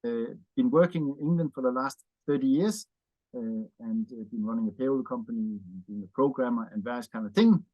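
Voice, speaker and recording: A man's voice: mid-range voice, brisk, lo-fi sound. Danish-accented man chatting with many fillers. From Denmark. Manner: rambling, many fillers and "yeah" tags. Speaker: interview guest, wine bar owner. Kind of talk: casual interview chat.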